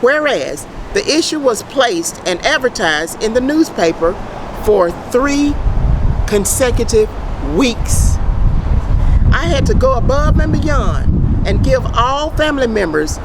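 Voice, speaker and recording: A middle-aged woman reads a prepared statement aloud in a deliberate, formal, emphatic way. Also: on the high side, sing-song, noisy background. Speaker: private citizen giving a public statement.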